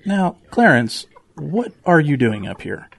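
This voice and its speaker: A man's voice: fairly high pitch, very animated, a little slow, vocal fry, fairly bright tone, slightly rough, exaggerated voice. A man playing an earnest, preachy pig with an admonishing manner, in a children's audio drama. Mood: reassuring. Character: earnest, preachy male pig with a fussy nervous streak.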